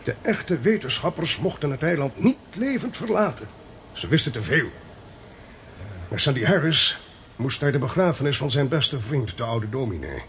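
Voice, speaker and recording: An actor with a Netherlands Dutch accent playing an authoritative intelligence agent explaining things emphatically in a radio play. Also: male voice, mid-range voice, very animated, normal speed, a bit bright, a little hiss or hum. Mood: grave.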